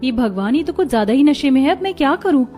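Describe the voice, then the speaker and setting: A woman's voice: sits high, lively intonation, fast talker, a little mellow, faint music behind. A woman playing a poor, earnest, self-sacrificing young college student in a cartoon story, speaking plainly. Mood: worried. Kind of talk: Hindi cartoon story dialogue.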